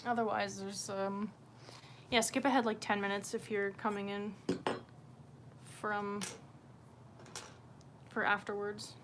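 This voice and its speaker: A woman's voice: mid-range voice, flat delivery, takes it slowly, pauses often, noisy background. A woman hosting a livestream, chatting casually and off the cuff to viewers in a scattered, sweary way. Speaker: livestream host. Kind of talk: casual unscripted livestream chat.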